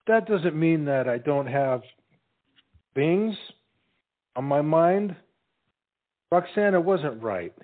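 A man playing a simple, earnest young soldier who speaks haltingly and humbly in a period stage comedy. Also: a little high, phone-quality sound, exaggerated voice.

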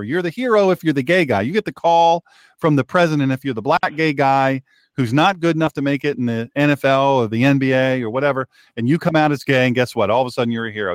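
A man's voice: medium pitch, dry recording, studio quality. Man in his fifties hosting talk radio. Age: 50s. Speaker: radio show host. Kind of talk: opinionated talk radio discussion.

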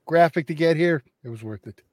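Male podcast co-host, somewhat high pitch, relaxed chat, dry close mic. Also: fairly quick, dry recording.

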